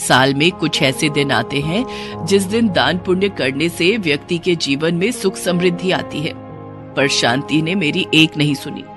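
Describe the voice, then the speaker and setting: Woman as older indian mother-in-law, pious n patient, preachy measured delivery, soft music behind. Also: sits low. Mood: grave, disappointed. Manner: measured, earnest, moralizing.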